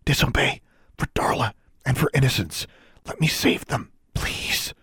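A man's voice: mid-range voice, expressive, a little slow, pushed and bright, husky, no room sound, clean studio sound, voice pushed up, exaggerated voice. A man voicing a determined, urgent young girl heroine in an audiobook, earnest and insistent. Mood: pleading, resolute. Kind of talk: audiobook character voice.

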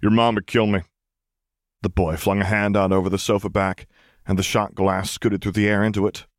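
A man's voice: deep voice, flat delivery, brisk, no echo, studio quality. A man reading a story aloud as scripted narration. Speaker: audiobook reader.

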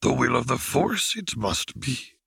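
Super croaky ancient little alien master, cryptic n grave, swoopy pitch, storybook style. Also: male voice, sing-song, fairly bright tone, no echo, studio quality, exaggerated voice. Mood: narrow, grave. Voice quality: creaky, raspy. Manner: inverted word order, halting phrases.

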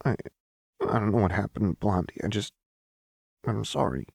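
A man's voice: on the low side, moderate pace, gravelly, breathy edge, no room sound, studio quality, pitched above the performer's own voice. A man voicing a volatile, troubled young woman, fragile and desperate, in an audiobook. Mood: weak, fearful. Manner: snarling outbursts and weak muttering.

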